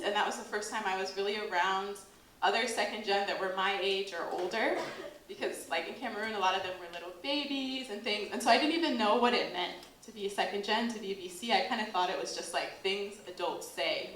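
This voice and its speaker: A woman's voice: medium pitch, a little fast, fairly bright tone, a little hiss or hum. An American woman in her late thirties gives a casual talk to a workshop audience, chatty and full of "like" fillers, laughing often. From United States. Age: 30s. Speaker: workshop speaker. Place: event hall with an audience. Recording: echoey hall.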